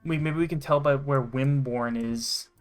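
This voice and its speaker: A young man giving excitable, sweary, casual commentary as he plays a game. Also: mid-range voice, unhurried, clean tone, soft background music. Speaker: gaming channel host.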